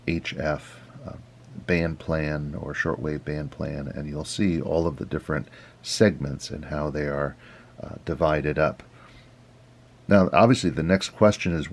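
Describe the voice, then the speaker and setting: A man hosting an informal tutorial, explaining things step by step in an unhurried, halting way. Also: extremely low pitch. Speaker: host, radio hobby instructor.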